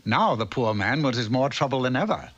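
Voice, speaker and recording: A man's voice: medium pitch, lively intonation, a little fast, highs cut off, heavy background noise, lightly acted. A man playing a genial, wry storyteller, reading scripted narration for a comic fairy-tale cartoon. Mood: wry, storytelling.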